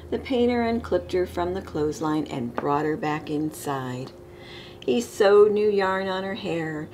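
A middle-aged woman reading a children's picture book aloud with expressive, emphasized storybook delivery. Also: fairly low pitch. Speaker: storytime reader. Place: living room, indoors. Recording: clear background room noise.

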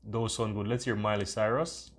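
A man's voice: fairly low pitch, fairly even intonation, normal speed. A man hosting a casual screen-recorded tutorial, talking in an enthusiastic, repetitive way. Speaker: tutorial host.

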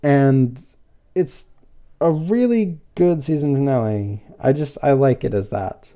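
A man's voice: mid-range voice, lively intonation, unhurried, dark tone. A man hosting a podcast, casually reviewing an episode in a rambling, hesitant but enthusiastic way. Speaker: podcast host.

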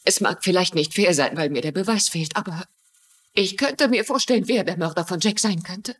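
Husky, anxious young mother. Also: fairly low pitch, normal speed, a bit bright. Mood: earnest, accusing. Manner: earnest, fearful. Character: anxious, vulnerable young mother wrongly suspected of murder. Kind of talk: German-dubbed TV mystery drama acting.

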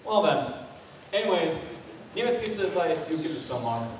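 A teenage American actor playing a showy, wisecracking game show host in a live stage comedy skit, announcing with drawn-out flair. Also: male voice, fairly high pitch, very animated. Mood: smooth hosting. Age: teens. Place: school auditorium stage. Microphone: handheld mic through PA, far camera. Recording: echoey noisy auditorium from far off.

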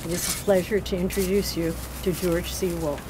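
A woman's voice: on the low side, fairly even intonation. Older woman reading introductions outdoors. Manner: measured, reads from notes with small slips. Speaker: ceremony host. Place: outdoors on a city street by a doorway. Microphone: podium mic. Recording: outdoor street noise.

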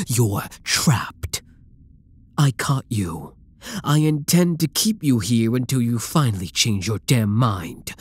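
Guy doing a possessive villain for asmr roleplay, super slow n breathy, menacing, slips into whispers. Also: fairly low pitch, no room sound, heavily acted. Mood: frustrated, possessive. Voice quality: breathy, husky. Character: dominant, obsessive male supervillain taunting captured hero. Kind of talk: ASMR roleplay, scripted dramatic monologue.

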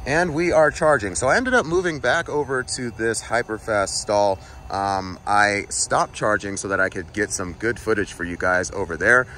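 A man's voice: fairly low pitch, normal speed, hardly any pauses, bright, forceful tone. A man in his thirties talking casually to viewers as a car vlogger, explaining things in a conversational way. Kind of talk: casual vlog narration to viewers. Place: inside a moving car, later outdoors at a charging station. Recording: noisy handheld recording with vehicle or street noise.

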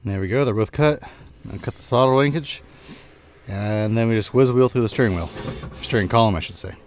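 A man in his thirties giving a relaxed, plain-spoken walkthrough of his junkyard, often trailing off quietly at the end of a remark. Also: mid-range voice, brisk, slight background noise. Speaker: junkyard owner and channel host. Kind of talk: casual vlog walkthrough. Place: outdoor junkyard and inside a pickup truck.

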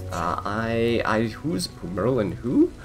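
A man's voice: fairly low pitch, sing-song, slow pace, over music. A young man giving casual gameplay commentary in a chatty, amused way, wandering off on tangents. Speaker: gaming video creator. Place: voice-over recorded apart, game music behind.

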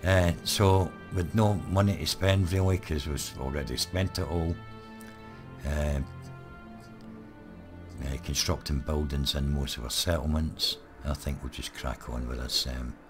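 A man, hoarse and croaky, giving relaxed live let's-play commentary full of ums. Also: extremely low pitch, measured pace, music in the background. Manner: relaxed, rambling, many ums. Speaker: gaming channel host.

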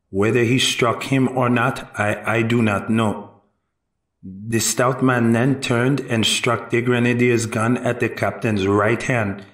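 A man acting a sober, careful eyewitness giving deliberate testimony in a historical courtroom drama. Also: mid-range voice. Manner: deliberate, measured storytelling. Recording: roomy echo, distant mic.

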